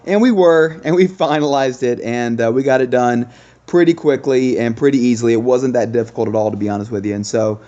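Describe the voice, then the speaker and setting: Mellow-voiced man hosting a game video. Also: mid-range voice, brisk, muffled recording. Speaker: gaming video host. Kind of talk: casual game commentary.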